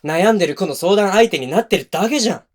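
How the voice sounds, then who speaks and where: A man's voice: high voice, lively intonation, quick pace. A male actor playing a defensive, hot-tempered young boyfriend in a voice drama, speaking bluntly and casually to the listener up close. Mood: angry, indignant. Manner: blunt, casual masculine speech. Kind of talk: situation voice drama addressed to the listener. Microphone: close binaural microphone.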